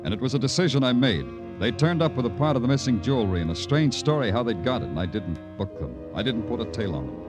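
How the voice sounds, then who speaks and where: An American actor playing a dry, wry police lieutenant in a 1950s radio crime drama, with clipped, sardonic, deadpan delivery. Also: male voice, mid-range voice, fast talker, few pauses, natural voice. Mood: wry, measured. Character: dry, wry male New York police lieutenant narrator. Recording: vintage radio recording with music behind.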